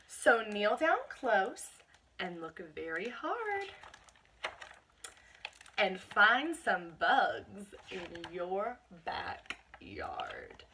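A woman's voice: a little high, sharp tone, a little hiss or hum. A woman, reading a picture book aloud to young children and speaking expressively to them like a teacher. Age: 20s. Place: small bedroom at home.

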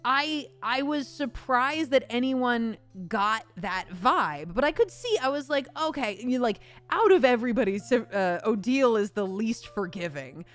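A woman's voice: fairly high pitch, animated, measured pace, hardly any pauses, faint music behind. A woman interviewing a guest in a casual live stream chat.

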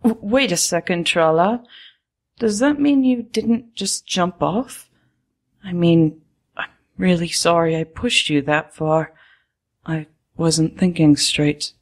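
An apologetic cartoon skeleton, played by a woman, speaks in a low register at an unhurried pace. The words come hesitantly and at length, full of regret, with an excitable streak. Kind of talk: fan comic dub acting.

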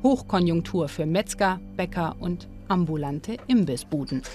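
A woman narrating a documentary and interviewing, measured and clear. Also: on the low side, animated. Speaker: documentary presenter. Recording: music bed under voice.

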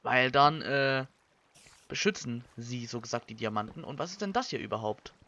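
A young man from Germany, giving casual Let's Play commentary and thinking out loud in colloquial language. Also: medium pitch, normal speed. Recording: slightly dull, lo-fi microphone.

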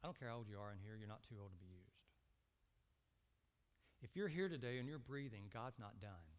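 A man's voice: on the low side, animated, fairly quick. A man in his fifties preaching a sermon emphatically, posing short rhetorical questions and repeating himself. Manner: asks the congregation short rhetorical questions and answers them. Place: indoor church stage at a lectern. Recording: reverberant hall sound.

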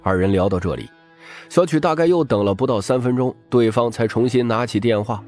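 A man's voice: mid-range voice, fairly quick, a little mellow, soft background music. A man reading scripted, dramatic audiobook narration.

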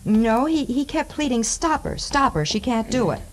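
An actress playing an earnest, smart nurse in the style of a 1940s radio drama. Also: female voice, a little high, lively intonation, normal speed, lightly acted. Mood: earnest, urgent. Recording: noisy vintage radio drama recording.

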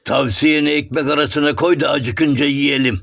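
A man voicing a grumpy, blunt, mocking folk clown in a children's cartoon, with rough, exclamatory comic retorts. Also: a little high, steady tone, normal speed, a bit bright. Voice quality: gravelly, rough.

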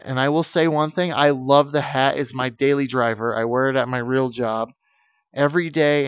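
A man's voice: medium pitch, smooth, no room sound, clean studio sound. A man with a cold and a fading voice, chatting enthusiastically as a channel host. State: sick, losing his voice. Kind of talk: casual vlog intro and comedy skit.

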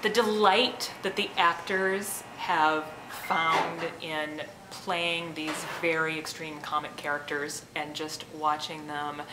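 A woman's voice: low voice, fairly even intonation, slow pace, hardly any pauses. A woman, a theatre director, explaining a play in an interview. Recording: echoey room, clear background noise.

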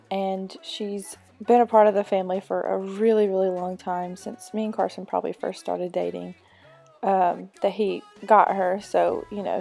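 A young woman narrates her vlog in a chatty, informal way. Her voice is mellow and relaxed with noticeable vocal fry, and music plays behind her. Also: medium pitch. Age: young adult. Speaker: equestrian vlogger. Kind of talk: casual vlog voice-over.

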